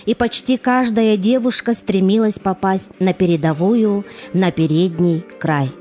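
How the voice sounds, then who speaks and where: A woman narrating a scripted documentary drama in a solemn, measured manner. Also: medium pitch, dark tone, faint music behind.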